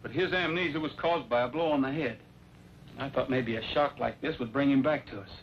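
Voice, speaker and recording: An actor playing a steady, determined cowboy hero in an old western, plain-spoken and firm. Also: male voice, medium pitch, a little fast, lightly acted. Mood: calm, explaining. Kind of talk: old western film acting. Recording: muffled old film soundtrack, clear noise.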